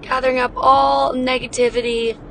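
A woman hosting a wellness podcast, her voice a bit raspy from cheering, talking in an upbeat, encouraging way and guiding breathing exercises. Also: high voice, level tone, moderate pace, a bit bright, rough recording. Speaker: podcast host. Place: in a car. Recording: car noise in background.

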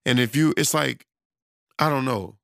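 Gravelly-voiced man losing his voice. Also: medium pitch, a little slow, fairly bright tone, dry recording, studio quality. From United States. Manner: loose, rambling, conversational, with many restarts and fillers. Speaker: self-employed studio owner. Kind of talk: casual monologue.

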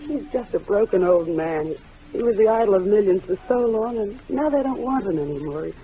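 A thin, noisy vintage radio sound frames a genteel young woman played by an actress. She is poised yet defensive, with mid-pitched, theatrical delivery from forties melodrama. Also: animated, normal speed, lightly acted. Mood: pleading, distraught. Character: poised, defensive young woman shielding her guilty father. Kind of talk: 1940s radio melodrama acting. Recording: tinny, noisy old radio broadcast.

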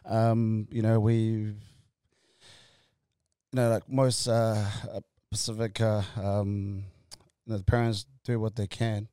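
Halting man, dark mellow voice. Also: fairly low pitch, little pitch movement, clear, resonant tone, no room sound, studio quality. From Tonga. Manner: halting, many fillers and restarts, laughs easily. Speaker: guest, company co-founder. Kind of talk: casual interview chat.

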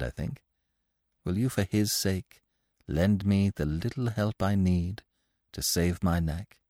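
A man voicing a cool, resolute gentleman fugitive with a quiet, formal and deliberate way of speaking in an audiobook story. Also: deep voice, dry recording, clean studio sound. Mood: quiet persuasion.

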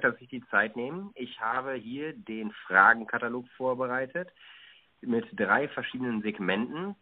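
A man hosting a podcast, formally interviewing a guest and reading out prepared questions in a polite, measured manner. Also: medium pitch, narrow, tinny audio.

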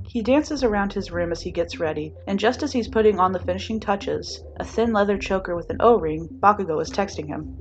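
A woman reading fan fiction aloud as an expressive, scripted story narration. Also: lowish voice, dull audio.

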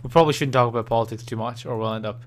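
A man's voice: medium pitch, very fast, a little hiss or hum. A man with a Scottish accent co-hosting a podcast, joking around and swearing often in casual chat. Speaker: podcast co-host.

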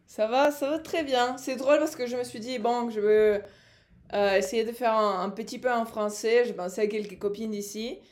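A woman's voice: on the high side, fairly even intonation, moderate pace, a little mellow. Young woman hosting, non-native French speaker. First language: not French. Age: young adult. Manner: friendly, hesitant, slips into English exclamations. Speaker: podcast host. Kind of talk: casual chat.

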